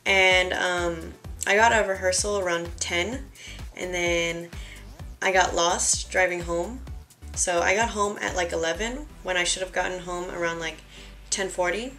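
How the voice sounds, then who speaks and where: Tired young woman, slightly raspy. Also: fairly low pitch, steady tone, unhurried, bright, forceful tone, soft background music. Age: young adult. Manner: chatty, self-deprecating, talks while applying makeup. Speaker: beauty vlogger. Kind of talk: casual makeup tutorial. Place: bedroom, indoors. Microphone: camera in front at close range.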